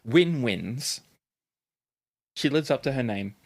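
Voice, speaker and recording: Game master with a very animated delivery. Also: mid-range voice, slow pace. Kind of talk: tabletop game narration and casual chat.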